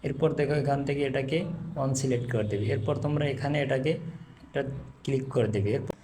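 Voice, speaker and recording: A man's voice: medium pitch, quick pace, mellow. A man hosting a video tutorial, narrating instructions step by step. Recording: faint background noise.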